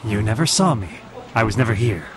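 An actor playing a pompous, mysterious crime-fighter in a melodramatic parody of old-time radio acting. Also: male voice, lowish voice, expressive, brisk, natural voice. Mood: pompous, probing. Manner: melodramatic, grandly theatrical.

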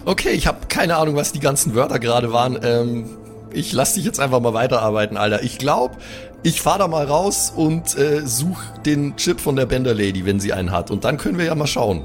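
A man's voice: medium pitch, animated, a little fast, soft background music, natural voice. A man playing a laid-back, slangy young guy. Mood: breezy, clueless. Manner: casual slang, rambling, says Alter and Digga.

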